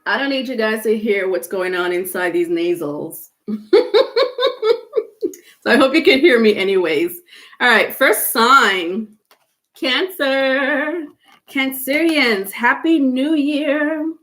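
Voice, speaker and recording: A woman's voice: a little high, slow pace, long pauses, fairly bright tone. A woman with a stuffy nose doing a casual live tarot stream, chatting with viewers and full of fillers, without a microphone. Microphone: no microphone, device mic.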